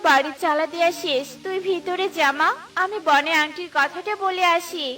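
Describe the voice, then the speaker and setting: A very high-pitched, gentle mother bird from a fairy-tale cartoon , acted by a woman. She is honest and patient, with a soft, pleading, moralising manner. Music plays clearly behind her. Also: normal speed. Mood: firm, kindly.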